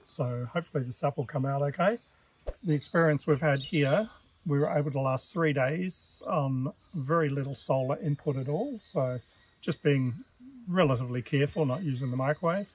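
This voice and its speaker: A man in his fifties with an Australian accent, chatting casually to camera at a forest campsite, relaxed and full of fillers. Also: on the high side, moderate pace, husky, rough recording. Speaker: travel vlogger. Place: outdoors in a pine forest campsite. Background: birdsong and bush ambience.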